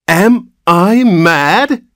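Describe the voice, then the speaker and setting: A man acting an overwrought, high-pitched frantic gentleman. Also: expressive, very slow, no echo, studio quality, heavily acted. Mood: terrified rage. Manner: agitated, incredulous, overwrought. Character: frantic, horrified new father, adult gentleman.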